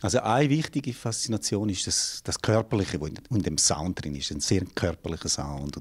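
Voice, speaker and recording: Husky deep-voiced Swiss German man. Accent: St. Gallen Rhine Valley Swiss German. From St. Gallen Rhine Valley, Switzerland. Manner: thoughtful, restarts phrases while searching for words. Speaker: jazz singer and yodel teacher, interview guest. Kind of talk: reflective interview answers. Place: small indoor studio room. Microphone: handheld mic held by interviewer.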